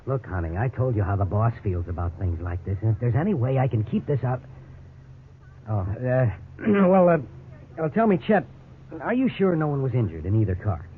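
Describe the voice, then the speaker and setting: A man's voice: medium pitch, sing-song, normal speed, lightly acted. An actor playing a worried, trusting middle-aged American husband and father in a 1950s radio drama, hesitant but reassuring. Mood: uneasy, probing. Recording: tinny, hissy 1950s radio recording.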